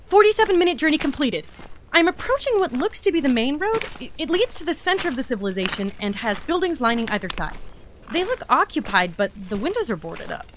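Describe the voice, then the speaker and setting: A woman playing an eager, naive explorer entity who excitably logs observations aloud in an audio drama. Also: sits high, fast, slight background noise. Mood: focused, observing. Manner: excitable, gushing, talking through observations aloud. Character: eager, naive young explorer entity logging a new reality. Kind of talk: audio drama mission log.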